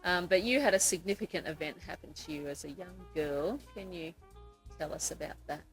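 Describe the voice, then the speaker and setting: A woman's voice: on the low side, brisk, soft background music, low-quality recording. A woman hosting a podcast, interviewing guests in a conversational way. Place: couch in a room in Nakasi.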